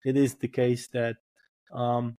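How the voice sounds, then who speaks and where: A man with a Polish accent talks in a flat, even tone, with little rise and fall. He searches for his words, doubling back on phrases as he works out what to say. He comes across as a steady, reflective guest. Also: medium pitch, slow. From Poland. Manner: thoughtful, repeats words while searching for phrasing. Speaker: guest, firefighter colonel. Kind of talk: casual podcast interview.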